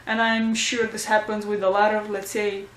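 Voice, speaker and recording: Woman, Romanian accent, young adult, casual home vlog, monotone delivery, faint hiss behind. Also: medium pitch, normal speed, rough recording. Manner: chatty, searching for words, light laughs. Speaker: vlogger.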